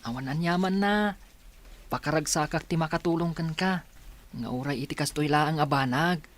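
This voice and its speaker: A man's voice: fairly high pitch, normal speed, lightly acted. A man acting as a supportive young friend in a radio drama, earnest and encouraging.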